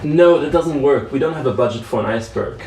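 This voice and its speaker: A man's voice: medium pitch, fast talker, darkish tone, lightly acted. A man playing an exasperated, impatient leader of a planning meeting in an amateur comedy sketch. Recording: echoey room, distant mic, faint music.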